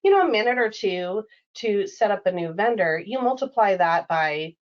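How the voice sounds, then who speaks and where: A woman explaining a software product in a conversational style, as an expert from the company. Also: medium pitch, expressive, moderate pace, muffled recording.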